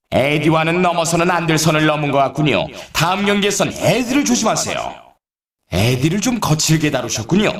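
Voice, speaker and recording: A man playing an excitable, teasing snowboard race announcer in a video game, delivering dramatic mock warnings as scripted commentary. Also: on the high side, reverberant, far from the mic.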